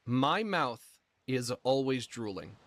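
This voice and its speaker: A man speaking haltingly with a squeaky, mucus-filled voice and shortness of breath, often slowing down mid-sentence, with dry self-mocking humor. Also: lively intonation, fairly bright tone, dry recording. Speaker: video creator describing his illness. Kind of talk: personal vlog monologue.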